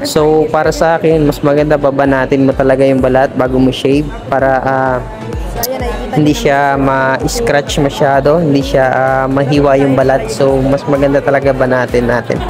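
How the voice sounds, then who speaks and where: A man who trains barbers, narrating his vlog in a relaxed way with many fillers. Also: medium pitch, normal speed, music in the background.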